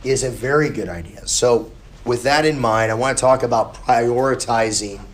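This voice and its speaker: A hoarse man, still getting his voice back, gives a casual, reassuring college class lecture. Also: medium pitch, moderate pace, roomy sound. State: hoarse, recovering lost voice. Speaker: college instructor.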